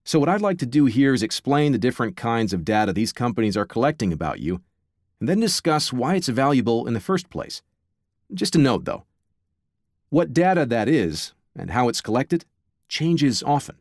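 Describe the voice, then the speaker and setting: American man, audiobook narration, mid-pitched, expressive intonation, clear enunciation, wry delivery, dry studio sound. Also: normal speed, no echo. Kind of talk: scripted nonfiction narration. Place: dry, close studio recording.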